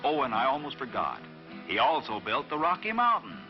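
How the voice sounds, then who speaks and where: A man narrating a scripted children's folk tale in a dramatic, expressive storytelling manner. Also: medium pitch, brisk. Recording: dull vintage recording, faint music behind.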